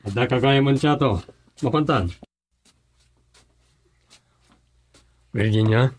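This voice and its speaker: An actor with a Filipino accent playing a commanding, secretive boss and husband in a radio drama. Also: male voice, mid-range voice, normal speed, close to an everyday voice. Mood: brisk. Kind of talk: Ilocano radio drama acting.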